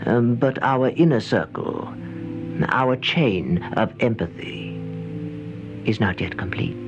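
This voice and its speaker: Slow, eerie séance host on old radio. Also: male voice, mid-range voice, expressive. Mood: coaxing, eerie. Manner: hesitant, coaxing, hushed asides. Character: eerie, coaxing male séance host of horror tales. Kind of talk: old-time radio horror acting. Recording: dull vintage radio recording, music behind.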